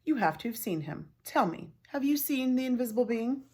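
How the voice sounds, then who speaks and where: A woman's voice: on the high side, animated, brisk, darkish tone, husky, pitched above the performer's own voice. A woman voicing the stern sister of an invisible being in a folktale, commanding and challenging.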